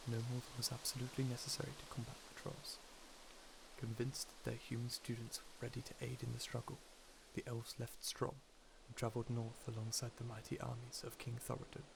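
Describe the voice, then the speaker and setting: A man narrating a fantasy story softly, in a measured, soothing ASMR style. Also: medium pitch, gravelly. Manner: soft, measured, soothing delivery. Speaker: storyteller and reader. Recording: steady background hiss.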